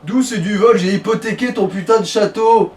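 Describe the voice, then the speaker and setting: A man's voice: high-pitched, normal speed, a little mellow. Echoey, distant man bantering over a game. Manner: slangy, sweary, bantering. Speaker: let's play co-host. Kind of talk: casual chat over gameplay, role-play banter. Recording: echoey, distant mic with faint noise.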